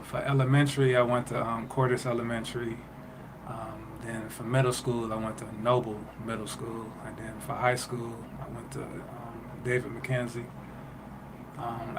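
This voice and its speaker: Flat-voiced man from Detroit. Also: medium pitch. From Detroit, Michigan. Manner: halting, many fillers and restarts. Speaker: guest, music producer. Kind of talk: casual interview answers. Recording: noisy ambience behind voice.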